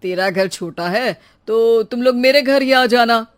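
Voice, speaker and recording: A chatty neighbourhood matchmaker in a cartoon tale, voiced by a woman, fairly high in pitch. He talks in an eager, familiar way. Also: measured pace, lightly acted. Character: chatty male matchmaker neighbour bringing a wedding proposal.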